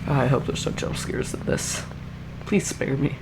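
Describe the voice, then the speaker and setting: An excitable reaction host talking casually and jumpily, with frequent exclamations and repeated phrases. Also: medium pitch, measured pace, background noise.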